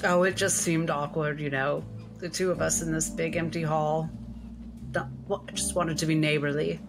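Woman acting as wry lady doctor, polite, gently hesitant, unnerving, tabletop game, music underneath. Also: low-pitched, little pitch movement, normal speed. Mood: courteous.

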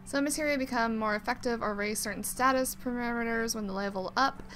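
A woman's voice: on the high side, a little fast, a bit bright, slight background noise. A woman hosting a let's play, commenting casually on a game and reading on-screen text aloud.

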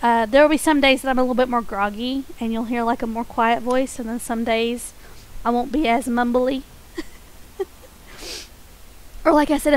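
High-voiced, slightly nasal woman streamer. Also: rough recording. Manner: soft-spoken, chatty, frequent small laughs; voice pitched up from her natural register. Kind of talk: casual game stream chat.

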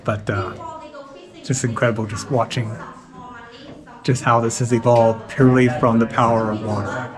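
A man's voice: mid-range voice, normal speed, long pauses, a crowd in the background. A man vlogging his way through a cave, chatting casually and joking about tight squeezes as he explains what the guide told them. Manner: joking, relaxed, talks while climbing through a cave. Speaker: travel vlogger. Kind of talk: casual travel vlog narration. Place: inside a cave, outdoors. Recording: background music mixed in.

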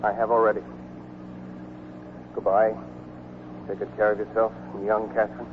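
An actor playing a tender, wistful soldier in love who narrates a 1940s radio drama. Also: male voice, fairly low pitch, monotone, moderate pace, a little hiss or hum, close to an everyday voice. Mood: tender, subdued. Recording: tinny 1940s radio broadcast with music.

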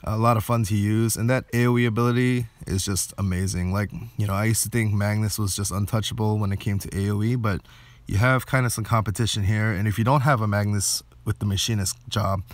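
A man's voice: lowish voice, steady tone, brisk, no echo. A man giving casual gameplay commentary, thinking aloud a little hesitantly as he plays. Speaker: game video creator.

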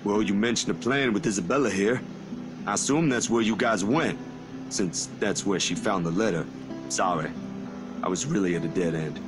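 Man as easygoing, deep-voiced young guy. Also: normal speed, over music, natural voice. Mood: defensive. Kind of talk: visual novel voice acting.

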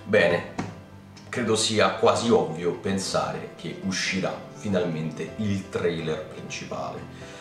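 Young man, from Italy, very low voice, excitable chatty news vlog, echoey room. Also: takes it slowly. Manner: chatty, excitable, addresses viewers as ragazzi. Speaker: anime news YouTuber. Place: home room with keyboard and lamp. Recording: roomy, slightly distant mic with faint music.

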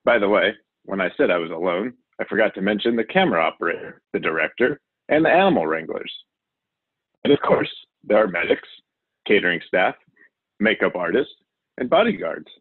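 Male reader as a showy, reckless TV adventurer for a children's storybook; husky, low, cheerfully overconfident. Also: animated, fairly quick, no room sound, exaggerated voice. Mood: boastful, excited. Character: wild, boastful male TV survival show host. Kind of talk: children's storybook character reading. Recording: narrow, phone-like audio.

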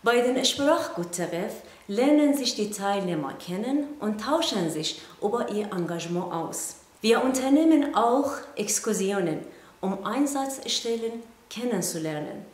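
A woman's voice: on the high side, animated, unhurried. Woman coordinator in an echoey studio. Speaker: project coordinator. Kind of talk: prepared statement to camera. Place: indoor studio backdrop.

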